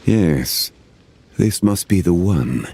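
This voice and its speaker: An actor playing a calm, formal, ancient-seeming gentleman of refined taste, speaking with measured diction in a game cutscene. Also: deep voice, breathy edge, heavily acted.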